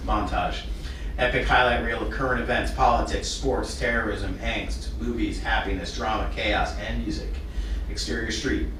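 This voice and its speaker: A man's voice: on the low side, fairly even intonation, normal speed. A man at a table read, reading out stage directions and chatting casually. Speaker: script reader at a table read. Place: echoey room, distant mic. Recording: roomy, distant, hissy room recording.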